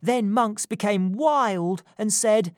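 A woman's voice: mid-range voice, takes it slowly, no room sound, clean studio sound, pitched above the performer's own voice, lightly acted. A woman voicing a frightened young woman who speaks in an urgent, pressing way in a storybook reading.

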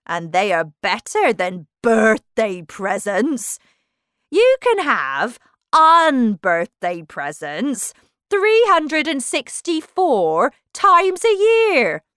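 Woman playing Humpty Dumpty, a loud, pompous talking egg. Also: on the high side, wildly swooping pitch, clean tone, dry recording, clean studio sound, pitched above the performer's own voice, heavily acted. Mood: boastful, pompous. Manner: indignant, slow, emphatic, self-important. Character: pompous, touchy, boastful male talking egg.

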